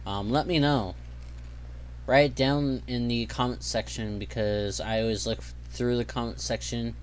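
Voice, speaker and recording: A man's voice: fairly low pitch, slight background noise. A young man hosting his own gaming channel, chatting casually while he plays, rambling along with lots of ums and easygoing asides. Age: young adult. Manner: rambling, filler-heavy, easygoing. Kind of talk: casual gameplay commentary.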